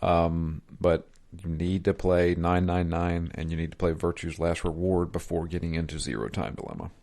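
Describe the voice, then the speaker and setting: A man's voice: low voice, fairly even intonation, moderate pace, gravelly, darkish tone. A man in his thirties chatting casually as a podcast co-host reviewing games. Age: 30s. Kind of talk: casual chat.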